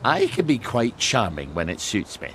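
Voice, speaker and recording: An actor playing a blunt, guilt-ridden Scottish ex-sergeant in a dramatic video game. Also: male voice, fairly low pitch, wildly swooping pitch, moderate pace, creaky, a bit bright, a little hiss or hum, exaggerated voice. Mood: gruffly cocky.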